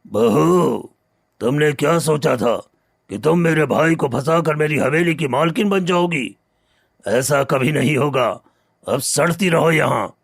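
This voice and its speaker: A man's voice: fairly high pitch, unhurried, gravelly, slightly rough, no room sound, clean studio sound, exaggerated voice. A man playing a callous, scheming elder brother and rich moneylender in a cartoon story, grave and commanding. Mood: cold, stern. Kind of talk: cartoon moral story acting.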